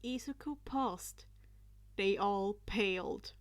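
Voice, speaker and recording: A woman reading fan fiction aloud as scripted narration, in a dramatic, deliberate manner. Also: mid-range voice.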